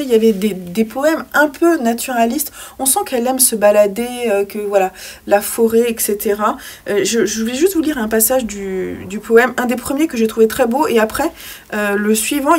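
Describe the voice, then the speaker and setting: A young woman talking through a poetry book she read, hesitating often with fillers and restarts, and now and then reading passages aloud. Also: on the high side. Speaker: book vlogger. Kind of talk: casual book review with read-aloud poems.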